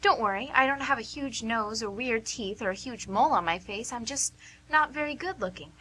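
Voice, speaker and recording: A woman's voice: on the high side, brisk, bright, forceful tone. A woman playing a shy, teasing, self-deprecating masked woman on a date in an amateur radio play, playful and coy.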